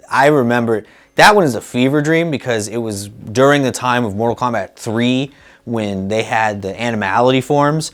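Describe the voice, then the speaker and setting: A man in his thirties co-hosting a casual movie chat, speaking in a playful, emphatic, conversational way. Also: mid-range voice. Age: 30s. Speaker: co-host. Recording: music playing behind. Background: background music.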